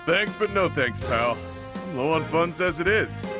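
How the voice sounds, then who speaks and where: An actor voicing a brash, laid-back brawler in a video game, breezy, cocky and slangy. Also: male voice, fairly high pitch, animated, unhurried, music in the background. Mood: bored, grumbling.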